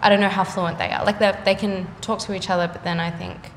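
A young woman, an artist chatting casually in an interview, full of fillers and quick laughs. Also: fairly low pitch, little pitch movement. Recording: echoey room with faint noise.

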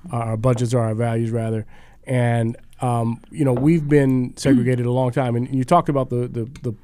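A man speaking as a radio panel guest, halting with frequent restarts. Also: medium pitch, dark tone. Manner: halting, many restarts and fillers. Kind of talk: casual discussion.

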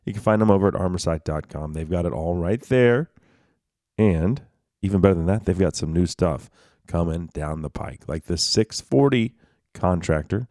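A man's voice: sits low, expressive, moderate pace, a little mellow, no room sound, studio quality. A man hosting an outdoor radio show, chatting casually and reading sponsor spots in an easygoing way. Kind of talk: casual radio chat and live ad reads.

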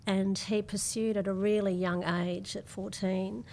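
An Australian woman speaks as a guest in a conversational interview. Her voice sits at a medium pitch, and her delivery is measured and reflective, like personal storytelling. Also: little pitch movement, a little mellow. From Melbourne, Australia. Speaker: podcast guest.

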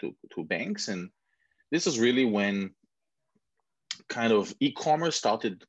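A man's voice: on the low side, very animated, slow, slightly rough, no echo. A man in his forties from Israel with an Israeli accent, the guest entrepreneur, talking casually with self-mocking asides and many restarts. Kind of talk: casual interview chat. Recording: dull muffled lo-fi sound.

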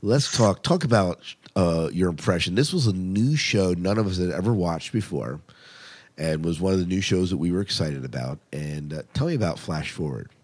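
A man's voice: deep voice, animated, moderate pace, a little mellow, husky. A man hosting a podcast in casual, conversational chat about shows, often putting questions to his co-host.

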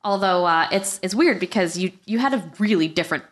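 A woman's voice: medium pitch, animated, brisk. A woman hosting a podcast in a casual, conversational way, asking questions with somewhat hesitant, searching phrasing. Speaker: podcast host.

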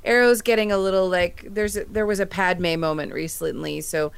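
A woman's voice: medium pitch, fairly even intonation, normal speed, vocal fry, clear, resonant tone. An American woman co-hosting a podcast in casual chat, speaking in an enthusiastic, gushing way. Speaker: podcast co-host. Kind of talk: casual chat about sci-fi and comics.

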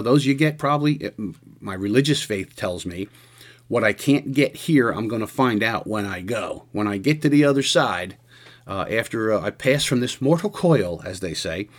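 Man in his sixties, earnest radio host. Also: fairly low pitch. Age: 60s. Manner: halting, earnest, frequent restarts. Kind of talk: reflective casual radio monologue.

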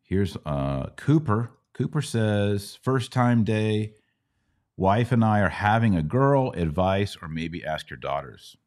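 A man's voice: lowish voice, big pitch swings, slow, darkish tone. A middle-aged man hosting a casual live question-and-answer show, teaching step by step with questions and simple analogies. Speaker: podcast host answering Bible questions.

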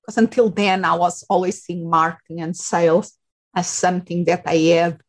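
A middle-aged woman speaks with a Portuguese accent in a fairly low voice. She talks earnestly as an interview guest and often fills her pauses while searching for words. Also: flat delivery. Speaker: guest, psychologist and life coach. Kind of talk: interview conversation.

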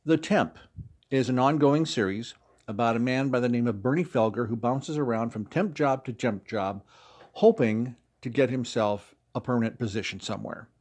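A man's voice: medium pitch, normal speed, a little mellow. A man speaking as an interview guest, explaining things in a conversational way. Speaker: interview guest, audio drama producer.

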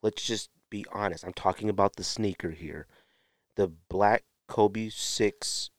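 A man with an American accent giving opinionated solo podcast talk in a blunt, emphatic way, with drawn-out pauses and repeated phrases. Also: on the low side, dry recording, studio quality. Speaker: podcast host.

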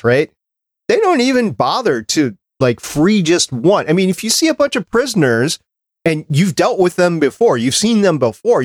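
A man's voice: on the high side, no room sound, studio quality. A man hosting a casual panel discussion, talking conversationally and checking in with questions. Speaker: podcast host.

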